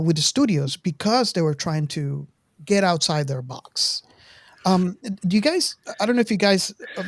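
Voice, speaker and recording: A woman in her fifties hosting a casual film discussion, speaking haltingly and often repeating words as she goes. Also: fairly low pitch, a little mellow, no echo, studio quality. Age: 50s. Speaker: podcast host.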